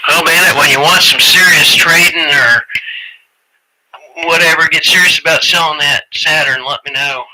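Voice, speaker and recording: A CB radio transmission with faint static carries a man's casual talk. His voice is very bright and forceful, pitched a bit high. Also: steady tone, normal speed, low-quality recording.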